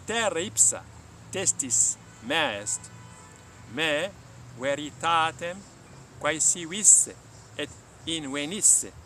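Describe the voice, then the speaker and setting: A man voicing a serene, unshakeable sage from a story, declaiming slowly and solemnly. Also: fairly high pitch, lively intonation, pushed and bright, faint music behind, voice pushed up, heavily acted. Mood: solemn, resolute. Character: serene, unshakeable male sage meditating under a tree.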